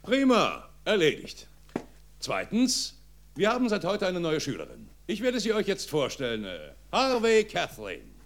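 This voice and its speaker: Ein Mann spielt in einem deutschen Hörspiel einen resoluten Lehrer vor seiner Klasse. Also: male voice, high-pitched, huge pitch swings, a little slow, full character voice. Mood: brisk. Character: brisk male schoolteacher addressing his rowdy class. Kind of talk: German audio drama acting. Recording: loud background noise.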